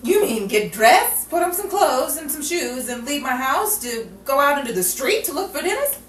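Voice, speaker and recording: A woman acts out a sardonic Hollywood woman of the 1940s, dragged out of bed and dryly exasperated. Her voice sits fairly high and jumps around expressively, in radio comedy style. The recording is roomy, a bit distant and faintly noisy. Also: sing-song, normal speed. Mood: sarcastic. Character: sardonic, put-upon 1940s Hollywood woman woken at night.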